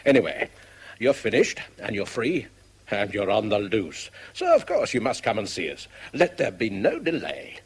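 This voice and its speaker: An actor playing a breezy, teasing, carefree friend, theatrically reading out a letter in a 1970s radio drama. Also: male voice, on the low side, huge pitch swings, normal speed, breathy edge, heavily acted. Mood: jovial, teasing. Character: jovial, teasing old male friend, carefree bon vivant.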